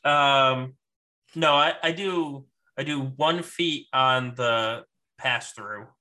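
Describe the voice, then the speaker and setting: Bright-voiced male gaming podcaster. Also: mid-range voice, extremely slow. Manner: informal, conversational. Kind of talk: casual chat about a mobile game.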